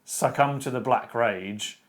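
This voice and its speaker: A man's voice: medium pitch, slow pace. A man chatting casually in a discussion, giving his views in an opinionated, emphatic way. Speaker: discussion show panelist. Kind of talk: casual chat, book discussion.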